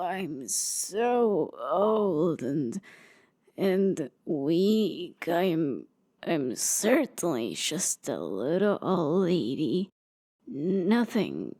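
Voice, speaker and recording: A woman's voice: on the low side, big pitch swings, extremely slow, a little mellow, heavily acted. A woman voicing a feeble, pleading old woman traveler in a fantasy ASMR roleplay, with a hesitant way of talking. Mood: nervous, feeble.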